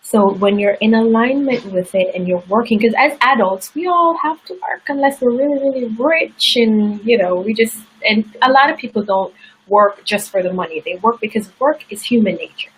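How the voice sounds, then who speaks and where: A woman's voice: on the high side, animated, moderate pace, breathy edge, low-quality recording. A woman guest in an interview, telling a personal story in a candid, earnest way. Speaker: interview guest, success coach.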